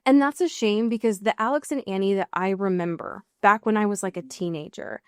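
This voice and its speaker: A woman's voice: medium pitch, animated, brisk, smooth, no room sound, clean studio sound. A woman co-hosting a podcast in casual chat, slangy and emphatic, with plenty of swearing. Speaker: podcast co-host.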